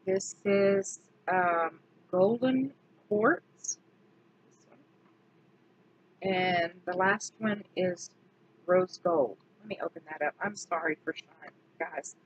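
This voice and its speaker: Slow-talking woman, slightly hoarse. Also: on the low side, low-quality recording. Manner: chatty, self-correcting, slow and deliberate. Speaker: beauty vlogger. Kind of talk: casual product review.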